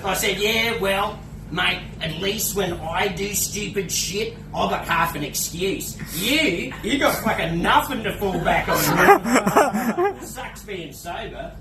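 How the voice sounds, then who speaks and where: A man's voice: sits high, expressive, slow pace, a crowd in the background. A man with an Australian accent doing live stand-up comedy, crude and laughing at his own jokes. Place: comedy club stage. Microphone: away from the mic, unamplified. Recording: echoey room, distant, noisy.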